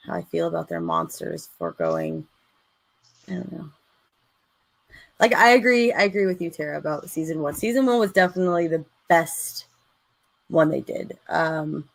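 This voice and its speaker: A woman co-hosting a casual live stream chat, unhurried and trailing off mid-thought. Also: fairly low pitch, expressive, creaky, dry recording, rough recording. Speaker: co-host.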